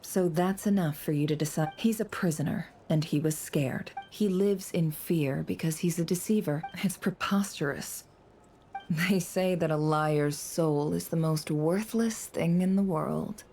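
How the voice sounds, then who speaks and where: Poised, shrewd young woman, low and velvety. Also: dark tone, a little hiss or hum. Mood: composed, brooding. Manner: measured, knowing, dry. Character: poised, shrewd, sardonic young woman with secrets, fantasy game.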